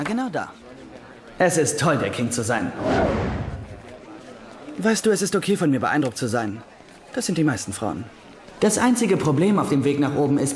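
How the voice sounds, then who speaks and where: A young German-dubbed sitcom doctor with a lightly high, very animated voice. He is self-dramatizing and wry, boastful yet insecure, in a reverberant space. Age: young adult. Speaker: lead character and narrator. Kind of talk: German-dubbed TV comedy, inner monologue and dialogue.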